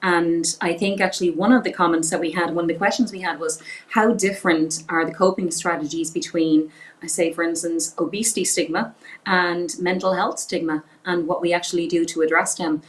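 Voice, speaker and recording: A woman's voice: on the low side, steady tone, fairly quick, rough recording. Sniffly woman hosting a panel. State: head cold, sniffly. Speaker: host. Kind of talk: hosting a patient panel livestream.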